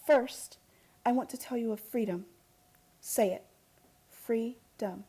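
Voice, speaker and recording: An actress playing an earnest young heiress in a radio drama, with urgent, impassioned delivery. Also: female voice, a little high, takes it slowly, warm tone, slightly rough. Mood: tender, fervent.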